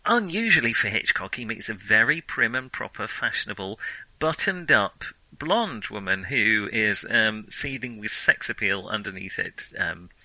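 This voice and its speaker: Bright, forceful man, closely recorded. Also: lowish voice, expressive, normal speed, no room sound, rough recording. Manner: hesitant, self-correcting, wry. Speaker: film podcast co-host. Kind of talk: casual film discussion.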